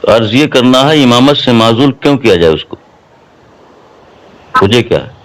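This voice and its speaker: Male caller, mid-pitched, formal and respectful but pressing, arguing religious points over a recorded telephone line. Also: animated, moderate pace, no room sound. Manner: deferential but insistent, rhetorical questioning. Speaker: caller questioning a mufti. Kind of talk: formal religious debate on a phone call. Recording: phone call recording.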